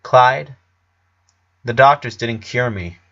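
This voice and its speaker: A man voicing a sick eleven-year-old boy in a story reading, weary and subdued, with halting speech. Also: medium pitch, bright, forceful tone, highs cut off. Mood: grave.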